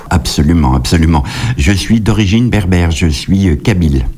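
Man, very low voice, mellow, hesitant, repeats words, singer-songwriter guest. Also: animated, moderate pace. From France. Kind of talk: interview answers.

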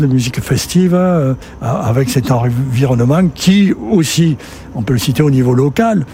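A man's voice: mid-range voice, dark tone, slight background noise. An older man from southern France, a festival director telling his story conversationally in an interview, rambling and repeating words as he goes. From Sète, southern France. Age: 60s or older.

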